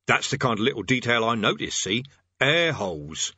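A man's voice: medium pitch, big pitch swings, normal speed, pushed and bright, husky, dry recording, muffled recording, full character voice. A man voicing a coarse, cheerfully menacing middle-aged army sergeant in a storybook reading. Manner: coarse, wheedling, keeps saying "see".

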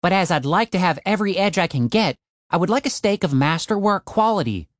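A determined young man from a storybook, as a male narrator plays him. He sounds earnest and insistent about his schemes. The pitch moves up and down a lot, in a dry, close recording. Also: lively intonation, brisk, dry recording.

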